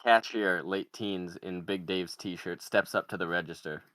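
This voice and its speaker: Male table-read narrator, low voice, dry close sound, delivering stage directions. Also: fairly even intonation, measured pace, bright, forceful tone, no echo. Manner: reads out screenplay directions. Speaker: podcaster reading stage directions.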